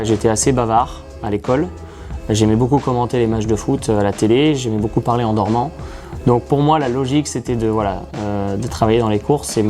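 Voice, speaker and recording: A man's voice: medium pitch, darkish tone. A man in his thirties from France, a racing commentator, answering interview questions in an earnest, hesitant way. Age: 30s. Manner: earnest, self-described shy, frequent hesitations.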